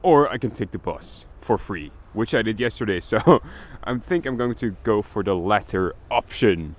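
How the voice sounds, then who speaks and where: A man's voice: on the low side, animated, normal speed. A young man from Belgium, rambling casually as he vlogs his travels, swearing now and then. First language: Dutch. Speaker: travel vlogger. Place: outdoors in a city street. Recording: faint street noise outdoors.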